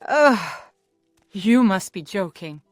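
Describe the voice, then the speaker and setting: A woman's voice: medium pitch, expressive, slow, dry recording, studio quality, soft background music. An actress voicing a curt townswoman in a fantasy video game.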